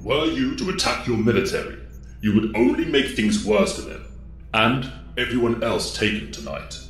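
A man plays a grave mentor to superheroes in an audio drama. His formal, solemn lines swing in pitch and echo over faint music. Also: expressive, moderate pace, natural voice. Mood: grave, firm. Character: calm, grave male mentor commanding superhero rangers.

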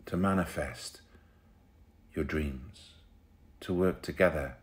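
A man leading a guided meditation in a soothing, unhurried way, pausing between short phrases. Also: a bass voice.

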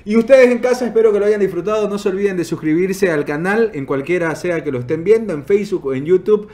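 A man, hosting a podcast interview in a casual, teasing way and laughing easily, speaking into a desk mic in a dark studio. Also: high-pitched, quick pace. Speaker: podcast host. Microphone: desk mic on boom arm.

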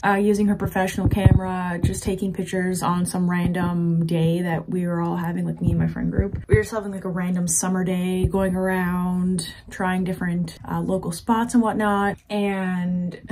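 A young woman talks straight to her camera in a loose, candid vlog chat, laughing easily and leaning on "like" as she goes. Her voice sits at an ordinary middle pitch. A clear hum of household noise runs under her voice. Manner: chatty, giggly and candid, with frequent laughs and filler "like". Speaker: vlogger. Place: home, with laundry running.

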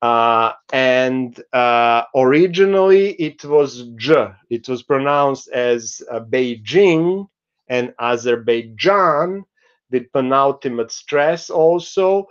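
A middle-aged man giving a university lecture over a video call, explaining slowly with many fillers. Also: mid-range voice, expressive, smooth, dull audio. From Croatia. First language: Croatian. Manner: slow, explanatory, with frequent fillers. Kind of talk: recorded online lecture. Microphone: video call webcam.

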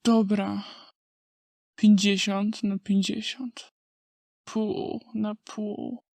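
A woman playing an old male wolf in a Polish audio drama, a smooth, contemptuous schemer with a creaky voice and an insinuating manner. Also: mid-range voice, little pitch movement, very slow, darkish tone, dry recording. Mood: businesslike, coaxing.

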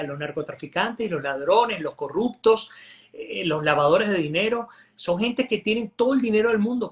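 A man, giving emphatic political commentary as a guest on a video call, often repeating words as he restarts. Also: high-pitched. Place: home room indoors. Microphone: video call webcam.

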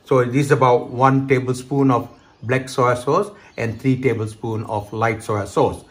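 Man, fifties, from Hong Kong, cooking show host, home kitchen, chatty step-by-step explaining, middle pitch. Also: fairly even intonation, a little slow. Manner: chatty, explains step by step, many "okay" and "right" check-ins. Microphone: camera close by, handheld.

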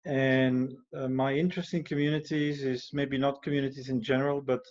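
Man from Belgium, mid-pitch, hesitant thoughtful delivery, muffled call audio. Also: little pitch movement, a little fast, a little mellow. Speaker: foundation founder. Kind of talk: video call podcast introduction. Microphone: headset on video call. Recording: dull lo-fi video call audio.

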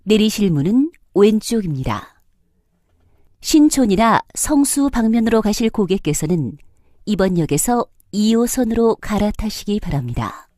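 A woman's voice: mid-range voice, very animated, a little slow, mellow, dry recording, clean studio sound. A woman reading scripted subway announcements in a formal, polite, carefully enunciated way. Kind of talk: scripted public transit announcement.

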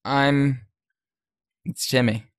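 Actress as hesitant young boy, very low pitch, dry sound, plain-spoken, audio drama. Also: steady tone, extremely slow, no echo, heavily acted. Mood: uncertain. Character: hesitant young boy new to a drug crew.

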